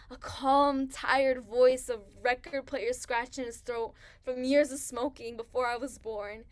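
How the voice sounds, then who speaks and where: An actress in a staged reading playing a grieving young pregnant woman who talks in halting, hushed phrases. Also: high voice. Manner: halting, hushed, searching for words.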